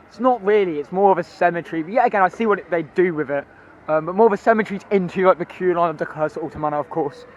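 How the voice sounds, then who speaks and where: A hoarse young man, chatty and rambling as he walks through a theme park with his phone. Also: sits high, lo-fi sound, a little hiss or hum. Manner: chatty, rambling, excitable, many ums. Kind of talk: walking vlog commentary.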